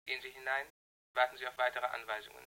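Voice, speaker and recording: An actor playing a young, well-spoken kidnapper giving curt, commanding orders through a telephone filter in a radio crime drama. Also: male voice, mid-range voice, little pitch movement, fast talker, sharp tone, low-quality recording. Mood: cold, businesslike.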